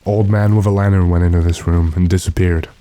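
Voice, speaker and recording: Man playing a low, mellow, commanding gentleman hero. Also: flat delivery, fairly quick, no room sound, close to an everyday voice. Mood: determined. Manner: commanding, earnest, dramatic. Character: urgent, determined gentleman trapped with his manservant. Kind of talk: old-time radio drama acting.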